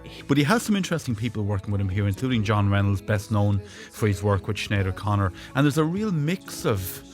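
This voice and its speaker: A man speaking as a music critic on a radio panel, giving measured, informative comments and often repeating words as he restarts. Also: on the low side, very animated. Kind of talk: radio review panel discussion. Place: radio studio.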